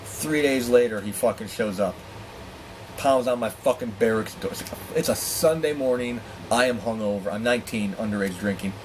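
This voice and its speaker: A man telling a personal story in a candid, profane, animated way, acting out dialogue with exasperated asides. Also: lowish voice, normal speed, a little hiss or hum, poor audio. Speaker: storyteller and host.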